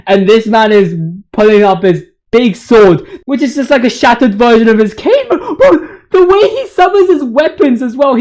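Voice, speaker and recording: A man's voice: sits high, darkish tone. A young man giving casual video game commentary in a hyped, slangy, rambling manner. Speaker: video host.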